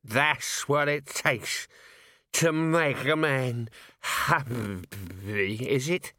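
A man voicing a drunk, swaying male watchman who boasts of his noble ancestry, in slurred, drawn-out speech. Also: mid-range voice, sharp tone, no echo, clean studio sound. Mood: drunk boasting. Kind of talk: audiobook character voice.

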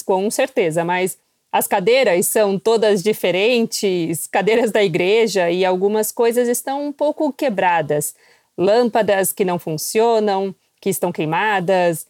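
A woman of middle pitch recalls her experiences candidly as a missionary guest. Her voice is recorded close and dry, with no room sound. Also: darkish tone, dry recording. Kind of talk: personal storytelling.

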